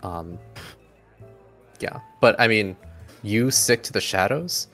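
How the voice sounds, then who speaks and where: A man with a very low, gravelly voice runs a tabletop game. He improvises the narration conversationally and haltingly, with faint music underneath. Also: animated, fairly bright tone. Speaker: game master.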